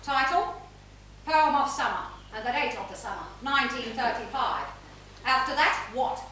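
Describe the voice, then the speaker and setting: A woman's voice: high-pitched, expressive, normal speed, bright, forceful tone. A woman playing a possessive, imperious older mother in an amateur stage play, insistent and declamatory. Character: possessive, imperious older mother, bitterly devoted to her son. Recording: echoey theatre stage, distant mic, noise.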